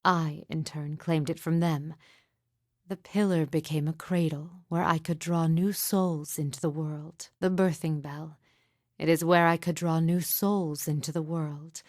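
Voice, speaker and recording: A woman voicing a sorrowful, haunted mystic midwife in a video game, speaking in solemn, hushed, deliberate and formal phrases. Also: low voice, steady tone, no echo, clean studio sound. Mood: wistful, recounting. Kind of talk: video game character dialogue, poetic and measured.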